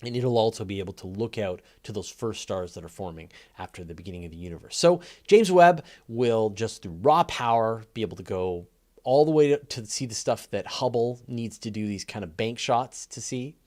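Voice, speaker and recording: A man's voice: lowish voice, expressive, normal speed, darkish tone. A man hosting a casual live science question and answer session, explaining conversationally and thinking aloud with enthusiasm. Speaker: host answering audience questions.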